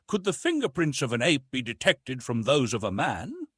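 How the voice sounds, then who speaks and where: A man narrating gives voice to a young wild-raised ape man from an adventure storybook. He is curious and questioning, with formal wording. The voice is husky and very animated, recorded close and dry. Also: mid-range voice, normal speed, no room sound, full character voice.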